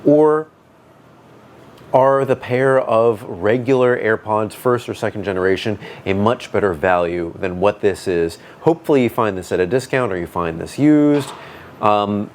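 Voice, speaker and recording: A man with a booming voice giving a casual product review, thinking aloud with fillers and restarts. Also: a little slow, slight background noise. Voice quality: booming deep voice. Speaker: tech reviewer. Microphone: dedicated microphone.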